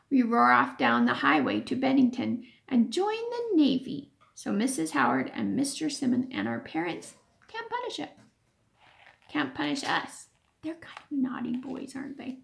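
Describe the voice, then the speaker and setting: A woman reads a children's story aloud in a high, lively voice. Her pace is unhurried and her pitch moves freely through each sentence, with the expressive manner of a teacher. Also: creaky.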